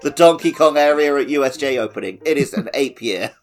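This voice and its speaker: A man's voice: a little high, expressive, fairly quick, faint music behind. A man hosting a podcast in a casual, chatty style. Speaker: podcast host. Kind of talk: casual chat.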